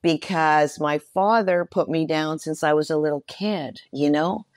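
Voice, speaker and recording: A woman in her sixties speaking candidly and reflectively as an interview guest, with measured emphasis. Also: low voice, no room sound, clean studio sound.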